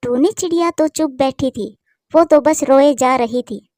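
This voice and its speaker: High-pitched woman narrating a cartoon story. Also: a little slow, darkish tone, no echo, studio quality.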